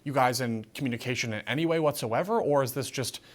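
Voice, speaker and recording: A man's voice: medium pitch, fast talker. An American man hosting a political TV interview, giving his own opinions while deferring to the guest. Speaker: news show host.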